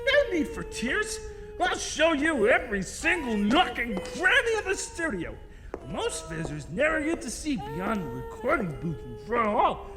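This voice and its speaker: A man's voice: very high-pitched, wildly swooping pitch, moderate pace, exaggerated voice. A man playing an eager, dim, good-natured sidekick with a goofy, earnest manner in a comic horror drama. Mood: eager, cheerful. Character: cheerful, naive, eager dimwit male studio sidekick. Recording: reverberant hall with music behind.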